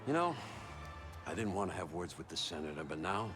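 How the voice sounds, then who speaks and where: A mob fixer in a video game, a man with a very low voice. He talks unhurriedly and wryly, polite on the surface with menace underneath, as music plays. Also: sing-song. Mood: pleased, quietly menacing. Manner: unhurried, wry, understated threat. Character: smooth-talking, menacingly courteous male mob fixer. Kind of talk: game cutscene acting. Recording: music behind the voice.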